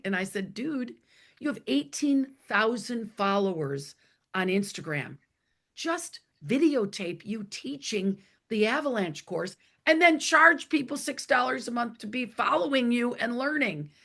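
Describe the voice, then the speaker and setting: A woman with a hoarse voice, speaking as an interview guest in a plain, emphatic, conversational way. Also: a little high, animated, moderate pace, fairly bright tone. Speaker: interview guest, author and executive coach.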